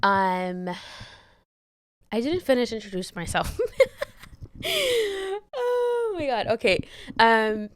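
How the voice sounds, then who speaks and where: A woman's voice: fairly high pitch, wildly swooping pitch, slow pace, pauses often, dry recording. A young woman from the Dominican Republic hosting her own podcast from her bedroom, chatting candidly with frequent swearing and restarts. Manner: candid, swears freely, trails off and restarts, laughs often. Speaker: podcast host. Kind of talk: rambling solo podcast chat. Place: bedroom, sitting on bed. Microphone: handheld mic.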